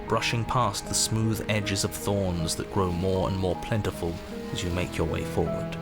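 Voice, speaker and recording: An actor playing a measured narrator of a grim fairy tale horror game, telling it in formal, solemn prose. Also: male voice, on the low side, lightly acted. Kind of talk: scripted dramatic narration. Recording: music under the voice. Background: background music.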